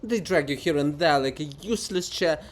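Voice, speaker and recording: A man performs a living monument in a stage farce, vain and fanatical. The voice is pitched high and swings wildly as it declaims with pompous, theatrical grandeur. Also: expressive, moderate pace, natural voice. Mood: scornful boasting. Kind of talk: dramatic reading of a stage farce.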